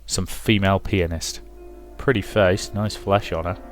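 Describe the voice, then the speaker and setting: A man's voice: sits low, steady tone, a little slow, soft background music. A man voicing gossiping, leering concert-goers in a visual novel, with a dismissive manner.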